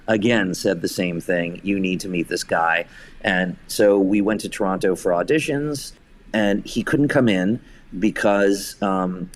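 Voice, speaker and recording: A man speaking as an interview guest, telling casual anecdotes in an enthusiastic, effusive way. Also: low-pitched. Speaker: podcast guest, theatre director.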